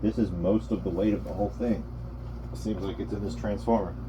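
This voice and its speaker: A man's voice: lowish voice, steady tone, fairly quick, background noise. A man talking casually to himself as he works on a hands-on repair, with dry, self-deprecating humour. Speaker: hobbyist narrating a repair. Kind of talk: casual vlog chat while working.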